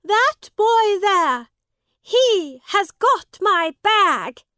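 A furious village woman, put on by a female narrator, cries out in a piercing high voice. Each word is slow, loud and accusing. The sound is dry and close. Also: very animated, no room sound, exaggerated voice. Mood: shrill accusing. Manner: shrill, accusing shouts. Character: angry shouting village woman robbed of her bag.

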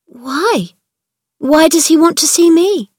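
A woman's voice: sits high, measured pace, dry recording, studio quality, voice pushed up. A woman voicing a timid, thin little girl who speaks stiffly and with a trembling voice. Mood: anxious pleading.

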